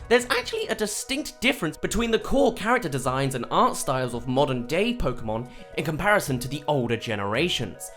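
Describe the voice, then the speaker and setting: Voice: medium pitch, fairly bright tone. A video reviewer reading a scripted essay with an emphatic, presenter-like delivery. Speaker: video reviewer and channel host. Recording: music behind the voice. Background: background music.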